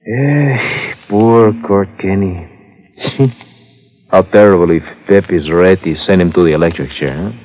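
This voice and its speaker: Casting: male ex-tennis champ chauffeur, sly and jovial, deepish voice, old radio drama, dulled sound. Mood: sly, insinuating. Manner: chuckling, halting, foreign phrasing. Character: genial, sly, fat South American ex-tennis champion chauffeur. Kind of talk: old-time radio detective drama acting. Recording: muffled old-time radio recording.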